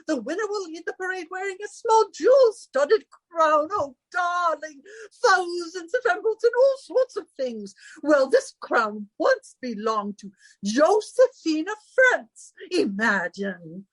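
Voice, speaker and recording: A woman's voice: very high-pitched, big pitch swings, a little slow, no room sound, heavily acted, low-quality recording. A woman playing a vain, flirtatious wealthy society hostess, gushing and affected, in 1940s radio style. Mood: grandly excited. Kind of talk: recreated 1940s radio comedy-drama acting.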